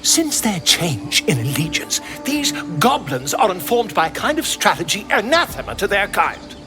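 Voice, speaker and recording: An actor playing a pompous, excitable male scholar in a video game, theatrical and wordy in manner. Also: sits high, normal speed, over music. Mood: intrigued, self-important. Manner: theatrical, verbose, exclamatory. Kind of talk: video game character acting.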